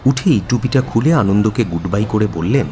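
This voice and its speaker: A husky male narrator with a low voice and lively, swinging intonation. He tells a scripted story dramatically, with long reverb around him. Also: moderate pace, slight background noise.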